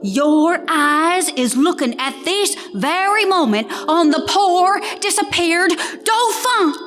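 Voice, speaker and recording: A woman's voice: very high voice, animated, takes it slowly, a bit bright, exaggerated voice. A woman voicing a pitiful male swindler who poses as a lost French prince, tearful and melodramatic. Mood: tearful grandeur.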